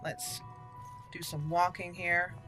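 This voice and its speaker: A woman's voice: fairly low pitch, little pitch movement, takes it slowly, bright, forceful tone, soft background music. A woman hosting a let's play, chatting and thinking aloud as she roleplays through a game. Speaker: gaming channel host.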